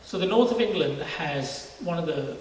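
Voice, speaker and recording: Middle-aged male guest from Singapore, slightly high voice, explaining conversationally, recorded at a distance in a reverberant space. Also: expressive, moderate pace. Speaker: interview guest, university research scientist. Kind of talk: informal testimony interview. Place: large church hall, indoors. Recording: very echoey church hall, distant mic, faint noise.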